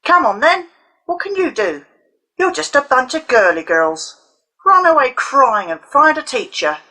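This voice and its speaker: A sneering young schoolboy thug performed by a woman in a storybook reading. His voice is high, loud and forceful, goading and full of contempt. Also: big pitch swings, unhurried, exaggerated voice, low-quality recording. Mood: contemptuous. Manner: challenging, sneering. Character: taunting, sneering schoolboy henchman in a bully gang.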